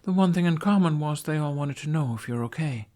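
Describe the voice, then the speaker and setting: A man voicing a loyal, steady young woman knight who is frank, matter-of-fact and supportive in a story reading. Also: a little high, fairly quick, pitched above the performer's own voice, lightly acted. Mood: frank, concerned. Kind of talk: dramatized fan fiction reading.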